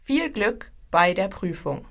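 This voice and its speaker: A woman in her twenties from Germany speaking slow, carefully enunciated scripted lines for a language exam. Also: mid-range voice, big pitch swings, gravelly.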